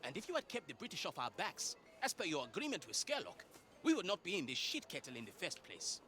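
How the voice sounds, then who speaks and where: An actor playing a furious, scornful pirate sailor in a video game, theatrical and biting, with old-fashioned seafaring insults. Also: male voice, on the high side, huge pitch swings, fast talker, bright, forceful tone, faint music behind, full character voice. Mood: bitter contempt. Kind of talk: dramatic video game acting.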